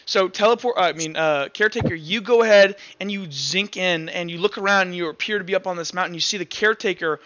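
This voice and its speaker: High-pitched, bright-voiced male game master. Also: fast, few pauses, dry recording, highs cut off. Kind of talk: casual tabletop game narration.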